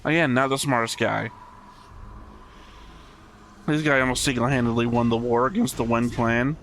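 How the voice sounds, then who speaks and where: A man's voice: medium pitch, steady tone, normal speed, a bit bright, a little hiss or hum. A man hosting a reaction show, commenting casually on what he watches.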